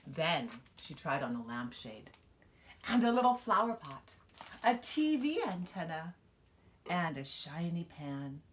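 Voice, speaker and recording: A woman reading a children's picture book aloud, expressively, with dramatic pauses and emphasis. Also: low voice, big pitch swings, slight background noise. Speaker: storybook reader.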